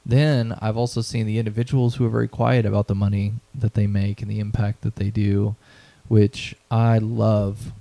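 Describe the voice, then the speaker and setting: A man's voice: lowish voice, level tone, normal speed, gravelly, warm tone. A man in his thirties being interviewed, talking earnestly about his mission and values, often checking in with "right?". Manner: earnest, motivational, repeats phrases, tags "right?". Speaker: event founder, guest. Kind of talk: conversational interview.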